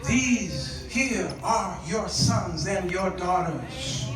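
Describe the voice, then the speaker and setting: A man preaching an impassioned sermon, exhorting his listeners with pauses and rhetorical questions. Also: sits high, animated. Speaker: guest preacher. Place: large echoey indoor church with congregation. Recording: echoey church, distant mic, congregation responding.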